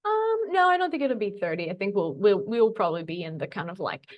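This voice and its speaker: A woman's voice: mid-range voice, very animated, fast talker, dull audio. A woman talking casually as a podcast guest, informal and self-deprecating, phrasing things loosely. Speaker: podcast guest, fitness coach. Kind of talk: casual conversational talk.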